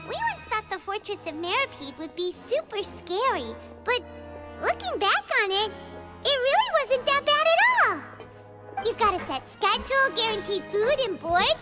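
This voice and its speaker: Squeaky, very high childlike fairy. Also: female voice, sing-song, normal speed. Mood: chatty. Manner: speaks of herself in third person, exclamatory. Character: tiny childlike female fairy companion, chirpy, excitable, talkative. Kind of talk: animated video game voice acting. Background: music.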